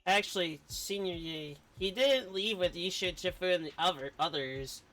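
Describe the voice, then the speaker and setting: Male streamer, high bright voice, reading game dialogue aloud, reacting, faint music behind. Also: normal speed, poor audio.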